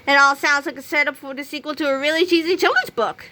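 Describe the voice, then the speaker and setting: A woman voicing a confident, breezy, mischievous schoolboy in an animated children's storybook read-aloud. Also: sits high, fast talker, voice pushed up.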